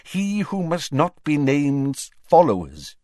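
A man's voice: fairly high pitch, huge pitch swings, unhurried, darkish tone, no room sound, clean studio sound, pitched above the performer's own voice. A man voicing a flustered, defensive and weary older government minister in an audiobook, with agitated, emphatic delivery. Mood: miserable, weary.

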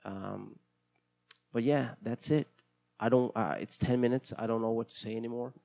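Gravelly-voiced Brazilian man rambling casually. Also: mid-range voice, fairly even intonation, normal speed, dark tone. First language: Portuguese. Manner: hesitant, rambling, many restarts. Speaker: podcast host. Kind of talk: casual solo podcast monologue.

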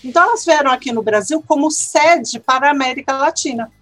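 A Brazilian woman speaking in an interview, explaining at length in an animated way and tagging sentences with questions. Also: sits high, fairly quick, no room sound, faint music behind. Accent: Brazilian Portuguese. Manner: animated, explanatory, frequent "né?" tags. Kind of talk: conversational interview.

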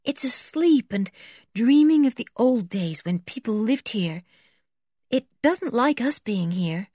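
A woman voicing a dreamy, awestruck young girl in a storybook, with breathy wonder and drawn-out exclamations. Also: a little high, expressive, mellow, dry recording, studio quality, pitched above the performer's own voice. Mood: hushed awe.

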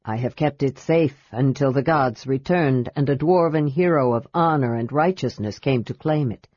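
A woman voicing a grave, wise old male gold dragon who speaks slowly and sternly. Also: rumbling low, a little mellow, dry recording, dull audio, voice pushed down. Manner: stern, grave, slow and measured.

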